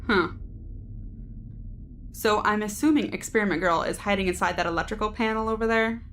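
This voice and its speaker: A woman plays a decisive, cynical security officer in a science fiction audio drama. She has a medium-pitched voice and a wry, matter-of-fact way of talking, over faint background ambience. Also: a little fast, fairly bright tone, slight background noise, lightly acted. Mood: dry, calm. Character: cool, cynical, decisive female security team member.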